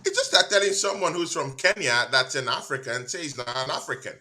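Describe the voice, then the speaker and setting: A man's voice: fairly high pitch, expressive, fast, a crowd in the background. A man from Nigeria, hosting a casual watchalong chat and insistently arguing his point. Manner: insistent, repeats himself when arguing. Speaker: host of a football watchalong. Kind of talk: casual debate.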